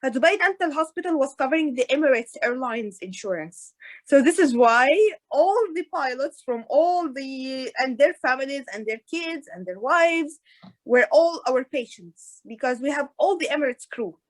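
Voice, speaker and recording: Woman, high voice, hesitant, many restarts, video call. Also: lively intonation, moderate pace, low-quality recording. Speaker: orthodontist guest. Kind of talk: casual interview chat. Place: in Dubai.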